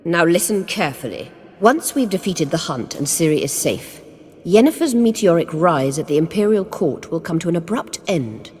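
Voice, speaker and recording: A woman playing a haughty, scheming sorceress in a video game, with a husky voice and an imperious, mocking delivery. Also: on the low side, sing-song, normal speed, a little mellow, in a large hall. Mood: smug, scheming. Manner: imperious, theatrical, condescending.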